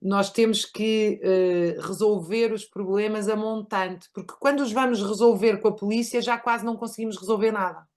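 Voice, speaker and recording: A woman's voice: medium pitch, fairly even intonation, moderate pace, few pauses, darkish tone. A woman hosting a conversation, making her points in an articulate, argumentative way. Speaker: host. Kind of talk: casual conversation.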